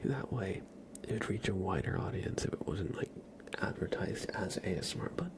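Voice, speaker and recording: A man streaming ASMR, chatting casually to viewers in a soft, breathy whisper with a slightly stuffy nose. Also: sits low, expressive, normal speed. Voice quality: breathy whisper with a creaky edge. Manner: soft-spoken, rambling, casual.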